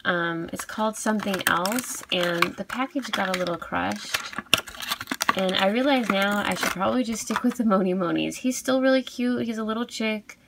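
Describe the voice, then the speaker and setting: A woman giving a casual unboxing review as a video host, cooing softly over cute things. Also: medium pitch, normal speed, gravelly, background noise. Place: indoors at a tabletop.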